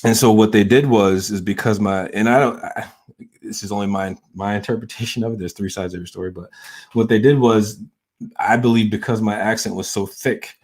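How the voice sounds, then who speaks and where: A man with a very low voice from the American South talks straight to his viewers. His delivery is loose and unhurried, full of ums, "you know"s and half-started sentences he circles back to. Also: steady tone, darkish tone, breathy edge. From Southern United States. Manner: hesitant, rambling, many fillers and restarts. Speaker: channel host. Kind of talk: casual personal monologue.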